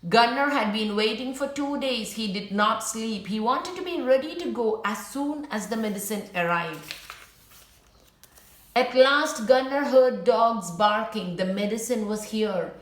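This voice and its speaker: A woman with a slightly high voice reads a children's story aloud. She goes very slowly and deliberately, keeping it simple for young listeners. The room around her is noticeably echoey. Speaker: children's book read-aloud narrator. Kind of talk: storybook read-aloud with brief asides to viewers.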